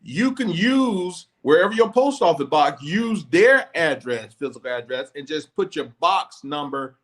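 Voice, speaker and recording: A man chatting on a podcast, his voice sitting a little high for a man. He explains at length in a relaxed, wandering way, backing up and restarting as he goes. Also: measured pace. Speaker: podcast host; former law enforcement officer. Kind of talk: casual chat.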